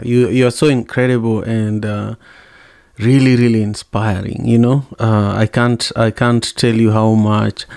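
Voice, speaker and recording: A middle-aged man hosting a podcast interview, asking halting, encouraging questions and praising his guest. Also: lowish voice, darkish tone, dry recording, clean studio sound. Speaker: podcast host. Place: home studio indoors. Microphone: large studio mic with headphones.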